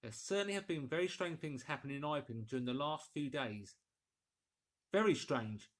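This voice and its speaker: A mild village vicar from a storybook as a male narrator plays him. He muses skeptically and fussily in a genteel, slightly high-pitched male voice. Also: moderate pace, natural voice. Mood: musing, skeptical. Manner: genteel, musing, fussy.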